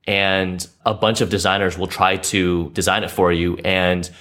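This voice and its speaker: A man in casual conversation, explaining things in a measured way with frequent fillers. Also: low voice, little pitch movement, fairly bright tone. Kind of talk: casual chat.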